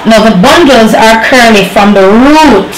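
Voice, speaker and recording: A woman's voice: a little high, expressive, a little slow. A young woman from Jamaica talking casually to camera as a beauty vlogger, rambling with fillers and restarts. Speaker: beauty vlogger reviewing hair. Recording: echoey room with faint noise.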